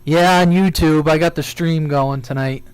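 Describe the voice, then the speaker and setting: Higher-voiced, clear-toned man chatting casually. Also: fairly even intonation, moderate pace, a little mellow, rough recording. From United States. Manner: jokey, rambling storyteller. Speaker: CB radio live stream host. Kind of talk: casual chat.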